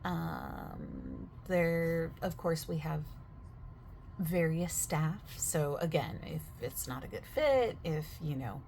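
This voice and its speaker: A woman talks quietly and at length, overexplaining like a gym clerk in an ASMR roleplay. Her voice sits low with plenty of vocal fry, and she keeps drifting from soft speech into whispers. A faint hiss runs underneath. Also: very animated, takes it slowly. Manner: soft-spoken, slipping into whispers mid-sentence; chatty, overexplaining. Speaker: gym staff member in a roleplay.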